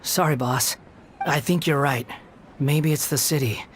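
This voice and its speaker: A man's voice: fairly high pitch, measured pace, a little hiss or hum, lightly acted. A man voicing a polite, earnest young shop helper in a video game, with a deferential, apologetic manner. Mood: apologetic, sheepish. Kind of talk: English video game voice acting.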